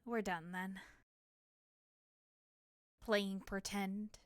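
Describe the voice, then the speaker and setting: A woman's voice: medium pitch, fairly even intonation, drawn-out words, dry recording, clean studio sound. A woman playing a cunning, bitter traitor in an audio drama, mocking and theatrical in her delivery. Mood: coaxing, bitter.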